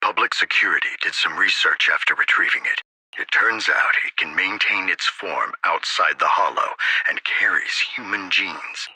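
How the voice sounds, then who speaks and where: An actor playing an authoritative, earnest male city mayor in a video game, speaking formally and deliberately. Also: low-pitched, sharp tone, breathy edge, dry recording, exaggerated voice, low-quality recording. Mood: serious, businesslike.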